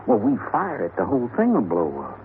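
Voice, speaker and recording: A man's voice: medium pitch, huge pitch swings, fairly quick, vocal fry. An actor playing a skeptical, grumbling gunman sidekick in a 1950s Western radio drama, blunt and full of doubt. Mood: doubtful, worried. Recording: muffled, noisy old radio recording.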